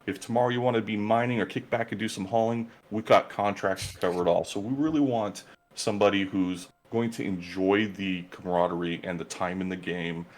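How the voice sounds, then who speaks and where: A man's voice: on the low side, steady tone, brisk. A man guest in a casual interview, talking earnestly and gratefully about his gaming group.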